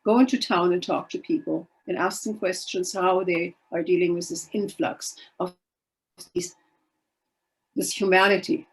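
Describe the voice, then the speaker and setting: A woman's voice: lowish voice, fairly even intonation, moderate pace, rough recording. An older woman from Germany whose first language is Polish, telling her life story in a chatty, wry and self-deprecating way on a video call. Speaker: guest speaker. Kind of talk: casual storytelling talk.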